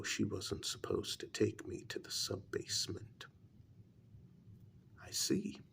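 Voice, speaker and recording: A man playing a calm, blunt human familiar to a vampire queen in a soft, half-whispered ASMR role-play, speaking formally and deliberately. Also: low voice, gravelly, full character voice. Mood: polite, puzzled.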